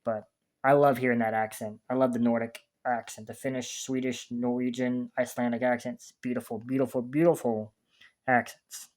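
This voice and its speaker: A man's voice: medium pitch, level tone, moderate pace, a little mellow. A man hosting a music reaction video, talking casually in a rambling way and often restarting his sentences. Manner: chatty, rambling, restarts sentences while searching for words.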